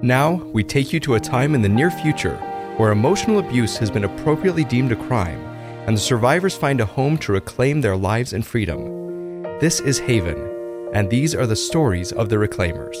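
A man's voice: medium pitch, expressive, normal speed. A man delivering dramatic scripted narration as the announcer of a fiction series. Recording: voice over prominent music.

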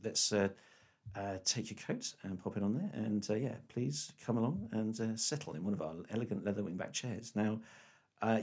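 A man with a low, husky voice hosts a relaxed interview. He is playful and jokey and fills his questions with ums and uhs. His delivery stays loose and conversational throughout. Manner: playful, jokey, full of fillers. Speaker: host. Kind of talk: casual interview chat.

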